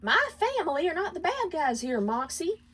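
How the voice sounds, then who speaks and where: A woman's voice: very high-pitched, big pitch swings, normal speed, a bit bright, voice pushed up, exaggerated voice. A woman voicing a hot-tempered, defensive female imp wife who scolds in a dramatic story reading. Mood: angry, dismissive. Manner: snappy, dismissive.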